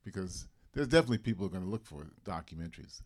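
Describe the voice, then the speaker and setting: A man's voice: low-pitched, expressive, racing. A man on a panel answering a question on documentaries, emphatic and repeating his key points. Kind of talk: panel discussion.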